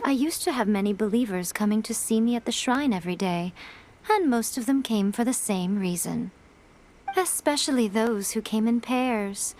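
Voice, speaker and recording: A woman voicing a sly, teasing, elegant fox shrine priestess in a video game, unhurried and smug. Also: fairly high pitch, darkish tone, a little hiss or hum, natural voice. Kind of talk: video game character dialogue. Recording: game music behind the voice.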